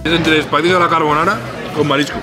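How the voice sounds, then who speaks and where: A man's voice: a little high, fairly even intonation, quick pace. A man in his thirties with a Spain Spanish accent, hosting a food vlog and describing dishes with eager exclamations. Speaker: food vlogger host. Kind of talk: casual food-tasting commentary. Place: busy indoor restaurant dining hall. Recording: loud background music and crowd chatter.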